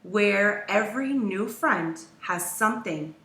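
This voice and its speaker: A woman reading a children's storybook aloud expressively, with measured phrasing and dramatic pauses. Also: mid-range voice, animated, bright, forceful tone. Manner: measured, dramatic pauses, acts out quoted lines. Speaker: teacher reading a picture book aloud. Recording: roomy echo, distant from mic.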